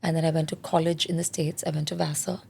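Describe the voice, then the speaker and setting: A woman in her forties from India talks candidly about her life as an interview guest. Her voice sits low and carries a gravelly, crackly texture. She tells her story in a reflective, conversational way. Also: flat delivery, fast talker, a little mellow. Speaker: guest, parenting specialist.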